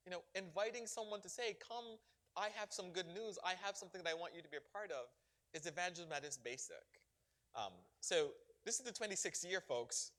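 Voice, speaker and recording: A middle-aged man from Barbados, a bishop answering questions at a parish gathering with animated, preacherly emphasis and frequent laughter. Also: high-pitched, brisk. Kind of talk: parish Q&A talk.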